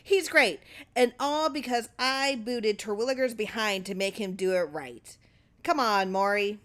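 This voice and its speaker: A woman voicing a small, tyrannical male film producer from a short story, blustering and indignant in loud exclamations. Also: medium pitch, measured pace, pitched above the performer's own voice, natural voice. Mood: brash, boastful.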